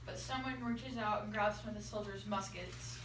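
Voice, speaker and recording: A woman's voice: medium pitch, fairly even intonation, moderate pace, fairly bright tone. A young teenage girl reading scripted narration aloud for a school play, recorded in a classroom. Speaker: student narrator. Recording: echoey classroom, far from mic, noisy.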